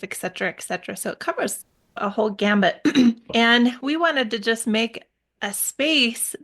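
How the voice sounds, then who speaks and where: A woman's voice: mid-range voice, vocal fry, a bit bright. A middle-aged American woman with a mid-range voice speaks as a podcast guest. She explains things steadily and patiently, now and then restarting a sentence. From United States. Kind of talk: casual interview chat.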